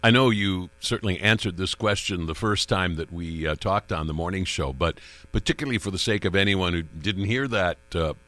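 A man hosting a radio interview, asking easygoing, somewhat halting questions. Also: low voice, bright, forceful tone. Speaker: radio host.

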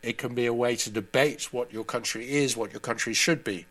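A man's voice: mid-range voice, a little fast, fairly bright tone. A man speaking as an interview guest, giving conversational analysis and commentary.

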